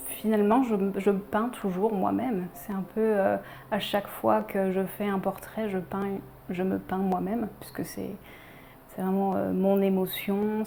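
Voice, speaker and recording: Mellow-voiced Frenchwoman with an even delivery. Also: medium pitch, vocal fry, a little hiss or hum. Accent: French from France. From France. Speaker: painter, interviewee. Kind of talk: personal documentary interview. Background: background music.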